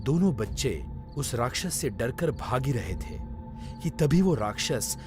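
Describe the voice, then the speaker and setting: A man's voice: mid-range voice, very animated, a little slow, a little mellow, faint music behind. A man narrating a scripted children's story as its storyteller.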